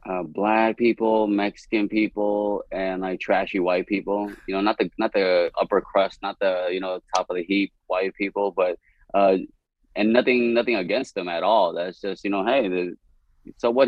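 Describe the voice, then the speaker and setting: A man's voice: on the low side, no room sound. A young man from East Dallas, Texas, talking candidly as a comedian guest on a podcast, rambling and laughing often. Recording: dull, dry podcast audio.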